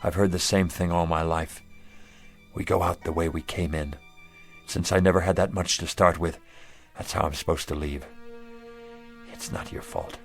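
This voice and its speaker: A man's voice: medium pitch, sing-song, fairly quick. A humble, down-on-his-luck sidewalk salesman in a radio drama. His folksy, earnest speech rises and falls with a lot of expression, and there is a sly streak in him. Faint music plays underneath. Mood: dejected, resigned. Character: down-on-his-luck sidewalk pitchman, humble, wistful, slyly wily.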